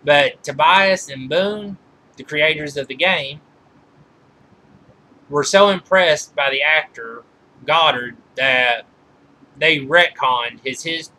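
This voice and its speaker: A man giving informal film trivia commentary as a reviewer, halting and often correcting himself mid-sentence. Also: a little high, sharp tone, a little hiss or hum, low-quality recording. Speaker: movie reviewer.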